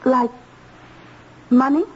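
High, emotional spirited woman. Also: fairly even intonation, extremely slow. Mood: defiant. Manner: earnest, questioning, emotional. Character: anxious but spirited, stubborn woman confronting crooks. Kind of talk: vintage radio drama acting. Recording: dull, hissy old radio recording.

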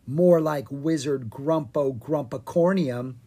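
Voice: medium pitch, slow pace, dark tone. A reader voicing a bossy young girl dragon in a children's storybook.

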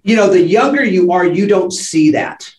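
A man's voice: high voice, expressive, moderate pace, darkish tone, roomy sound, slight background noise. A man in his late sixties co-hosting a podcast, talking candidly with frequent restarts and frank swearing. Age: late 60s. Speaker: podcast co-host. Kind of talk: candid conversational podcast talk.

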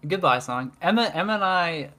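A man's voice: high voice, animated, fairly quick, sharp tone. A man co-hosting a casual podcast chat, giggling often and trailing off into restarts as he rambles. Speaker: podcast co-host.

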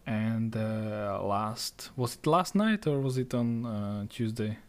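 A man co-hosting a podcast, chatting casually with many pauses and restarts. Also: lowish voice, animated. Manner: hesitant, many fillers and restarts. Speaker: podcast co-host.

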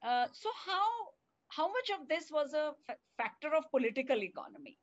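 Lively woman interviewer, slightly high voice. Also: brisk, muffled recording. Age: 60s. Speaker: interviewer, host. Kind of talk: conversational long-form interviewing.

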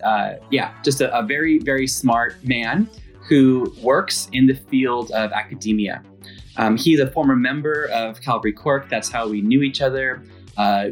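A man from California hosting a podcast, talking in an easygoing way with frequent chuckles and hesitant fillers. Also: mid-range voice. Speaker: podcast host. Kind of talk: casual interview chat. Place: lives in Ireland.

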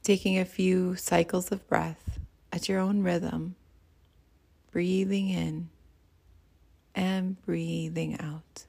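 A woman leading a guided meditation as a teacher, speaking in a soothing, gentle and unhurried way. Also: lowish voice, level tone, vocal fry, slightly rough.

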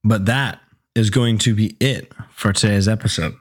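Laid-back young man, hoarse throat. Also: lowish voice, steady tone, normal speed. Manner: laid-back, chatty, swears casually. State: sore throat, losing voice. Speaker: podcast host. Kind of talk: casual solo podcast chat.

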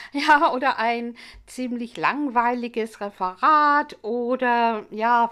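Woman, middle-aged, first language English, unhurried and fairly high-pitched, an earnest language teacher talking through a podcast lesson. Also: fairly bright tone. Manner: earnest, explanatory, asks listeners many questions.

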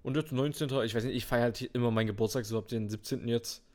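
A man's voice: mid-range voice, fairly even intonation, fast, darkish tone. Laid-back young man, slangy chat. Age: turning 19. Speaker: podcast co-host. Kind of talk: casual chat.

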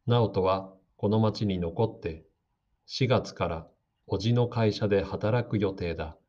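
A man giving slow, calm, scripted narration for a radio drama meant for learners. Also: lowish voice. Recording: dull lo-fi audio.

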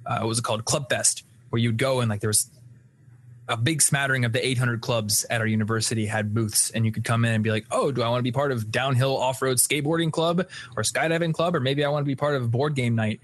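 A young man hosting a podcast, chatting in a relaxed, candid way about his college years. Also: medium pitch, fast talker. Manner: relaxed, candid, casual swearing. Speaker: podcast host. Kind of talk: casual live-stream chat.

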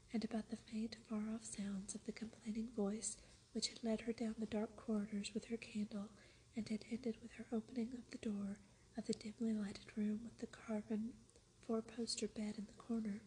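A woman reading a storybook aloud in a soft, unvoiced whisper. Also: on the high side, little pitch movement, normal speed, a little hiss or hum, rough recording. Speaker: narrator.

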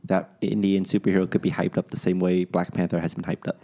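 A man's voice: low-pitched, flat delivery, fast talker, dark tone. A man co-hosting a podcast, asking teasing, jokey questions. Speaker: podcast co-host. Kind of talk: casual podcast chat.

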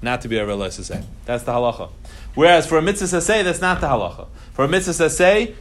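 A man teaching an informal class on religious law, explaining emphatically, repeating key phrases and mixing Hebrew terms into his English. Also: mid-range voice. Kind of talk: informal lecture to students.

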